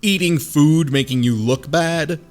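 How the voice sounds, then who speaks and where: A man's voice: on the high side, measured pace. A man chatting casually on a podcast in a wry, joking and sweary manner. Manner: wry, profane.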